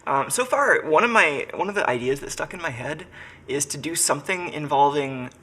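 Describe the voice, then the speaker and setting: A man's voice: fairly high pitch, animated, moderate pace, bright, forceful tone, breathy edge. A man who is sick and congested, chatting casually on a live stream from his workshop and thinking aloud with lots of fillers. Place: home workshop.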